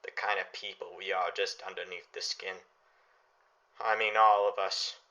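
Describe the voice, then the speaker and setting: A bitter, brooding middle-aged householder in a radio drama, acted by a man. His voice is low yet forceful, speaking haltingly on a slightly dull recording. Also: flat delivery. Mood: shaken, brooding. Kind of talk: radio play acting. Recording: dull lo-fi audio.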